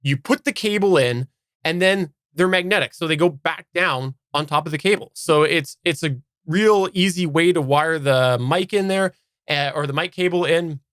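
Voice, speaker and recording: A man's voice: a little high, normal speed, a bit bright, clear, resonant tone, no echo, clean studio sound. A man from Canada giving a casual, unscripted product review as a podcast host, explaining in detail and stressing key words.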